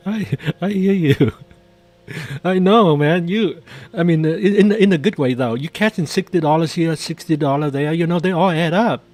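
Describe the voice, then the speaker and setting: A man's voice: on the high side, moderate pace, darkish tone, no room sound. A man with doing casual live trading commentary, chatty and jokey, often repeating his phrases. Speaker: day trader hosting a live trading stream.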